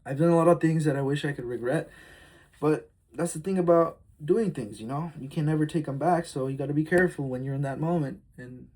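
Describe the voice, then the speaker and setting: Husky young man rambling candidly. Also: fairly high pitch, fairly quick, darkish tone. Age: early 20s. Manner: rambling, reflective, heartfelt, frequent fillers. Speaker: vlogger. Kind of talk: casual confessional vlog.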